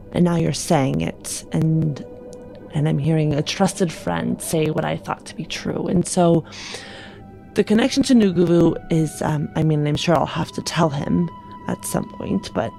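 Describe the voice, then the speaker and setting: A woman's voice: deep voice, vocal fry, darkish tone. A woman playing an earnest, polite space traveler in improvised tabletop roleplay, plain-spoken and hesitant. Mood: regretful, earnest.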